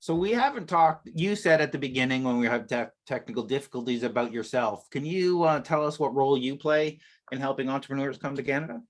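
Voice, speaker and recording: A man's voice: medium pitch, brisk. A man hosting a webinar, asking polite, upbeat questions and thanking the audience. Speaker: webinar host. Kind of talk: moderating a webinar Q&A. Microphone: video call.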